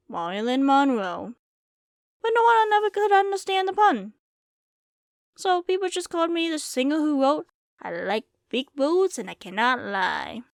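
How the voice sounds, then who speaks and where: Woman voicing a folksy, high-pitched Wild West saloon keeper. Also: dry recording, studio quality, exaggerated voice. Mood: wistful, reminiscing. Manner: folksy, rambling, drawling storytelling. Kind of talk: ASMR roleplay, storytelling to the listener.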